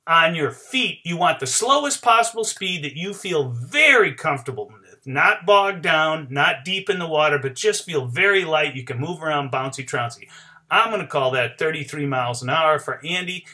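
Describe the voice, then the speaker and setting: A man's voice: fairly high pitch, expressive, moderate pace, pushed and bright. A middle-aged man explaining as an informal sports coach, emphatic and talking directly to his viewers. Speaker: barefoot waterskiing coach. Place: small cluttered indoor home office.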